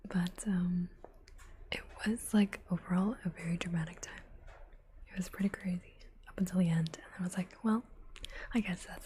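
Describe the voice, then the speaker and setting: A woman hosting an ASMR livestream, chatting softly to viewers in a breathy whisper with unhurried asides. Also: mid-range voice, slight background noise. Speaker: livestream host, ASMR artist.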